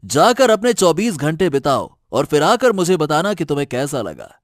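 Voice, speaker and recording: A man playing a calm, commanding magical deer in an animated moral story, speaking in a measured way. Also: on the high side, no room sound, clean studio sound. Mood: calm authority.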